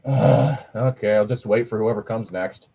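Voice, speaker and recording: A man's voice: lowish voice, steady tone, moderate pace, creaky, dark tone, breathy edge. A man voicing a sheepish, seductive demon woman in an improvised comic skit, awkward and hesitant. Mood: awkward pleading.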